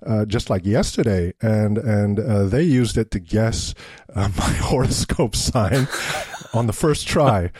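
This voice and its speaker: A man's voice: fairly low pitch, slow pace, a little mellow, husky, no echo, studio quality. A man from Canada with a Canadian accent, a lecturer chatting casually as a guest in an interview. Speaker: podcast guest, university lecturer.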